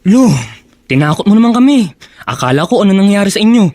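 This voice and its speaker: An actor playing an affectionate young grandson in a radio drama, speaking in a tender, respectful, earnest way. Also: male voice, high-pitched, very animated, fast, a little mellow, soft background music. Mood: earnest, worried.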